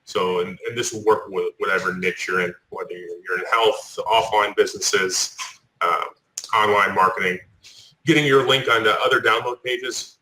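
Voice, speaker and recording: A man's voice: low voice, sharp tone, low-quality recording. A man hosting a live online show, explaining things loosely with many fillers. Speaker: webinar host. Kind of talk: casual webinar chat.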